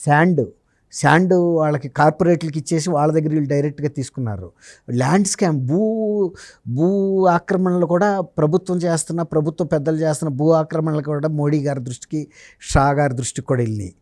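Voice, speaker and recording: A man speaks as a political commentator in a conversational interview style. His voice sits a little high yet is very mellow, recorded close and dry. He explains his points emphatically, often through rhetorical questions. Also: fairly quick, no room sound. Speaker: journalist giving political analysis as interview guest.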